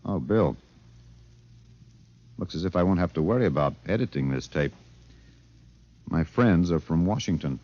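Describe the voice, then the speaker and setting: An actor playing an excitable, insistent radio reporter with an urgent, stammering delivery, in 1950s radio drama style. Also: male voice, low-pitched, moderate pace, long pauses. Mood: resigned. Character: excitable, insistent male radio reporter, breathless then resigned. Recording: dull vintage radio broadcast recording.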